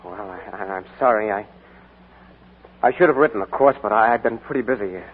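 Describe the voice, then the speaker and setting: A man's voice: lowish voice, brisk, husky, phone-quality sound. An actor playing a young man back from the war who stammers and hesitates, in 1940s radio acting on an old broadcast recording. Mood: evasive.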